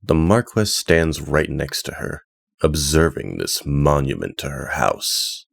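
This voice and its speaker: A man's voice: extremely low pitch, sing-song, takes it slowly, gravelly, no room sound, clean studio sound, exaggerated voice. A man playing a solemn storyteller, giving dramatic scripted narration for a fantasy audio drama. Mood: measured, ominous.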